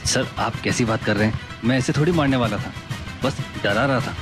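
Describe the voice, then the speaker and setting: A man's voice: mid-range voice, brisk, music in the background, lightly acted. An actor playing a bullying store manager who cringes before his bosses in an audio drama. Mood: defensive. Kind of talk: Hindi audio drama acting.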